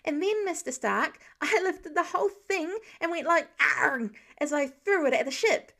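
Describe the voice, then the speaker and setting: A woman voicing an excitable, apologetic teenage superhero boy who rambles breathlessly in an audiobook. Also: high voice, moderate pace, creaky, voice pushed up, full character voice. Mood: excited, gushing. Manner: breathless run-on rambling with sound effects. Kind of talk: fan fiction audiobook dialogue.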